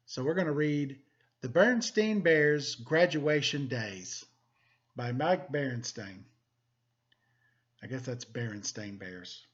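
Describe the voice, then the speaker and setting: A man's voice: medium pitch, lo-fi sound. A man hosting story time, reading a picture book aloud to children with playful, self-deprecating, chatty asides.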